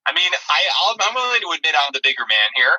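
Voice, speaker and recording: Very sharp, bright-voiced defensive male podcaster. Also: a little high, big pitch swings, poor audio. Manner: defensive, halting, aggrieved. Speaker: comedy podcast co-host. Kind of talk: improvised comic banter.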